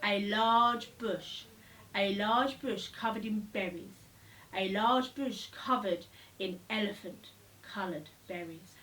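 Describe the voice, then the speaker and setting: A woman narrates a children's story in a medium-pitched voice. Her phrasing is deliberate and expressive, leaning on repeated words for emphasis. She sounds slightly distant from the microphone.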